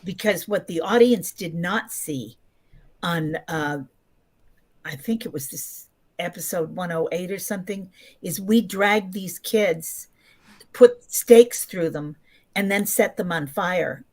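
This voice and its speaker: Older woman with a low voice, telling anecdotes. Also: takes it slowly, darkish tone. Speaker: podcast guest, actress. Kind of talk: casual interview anecdotes.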